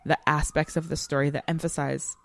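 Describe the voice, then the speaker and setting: A woman co-hosting a podcast, talking through a story in a casual, animated and emphatic way. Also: sits low, fairly quick, gravelly. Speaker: podcast co-host. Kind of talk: casual literary discussion chat.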